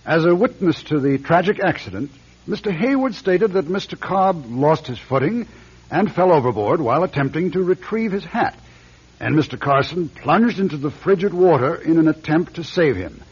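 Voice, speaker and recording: Smug calculating chairman, American, husky, swinging intonation, radio drama, muffled sound. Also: fairly high pitch, expressive, normal speed. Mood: formal, reading aloud. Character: smug, calculating board chairman, casually cold schemer. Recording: dull radio drama recording.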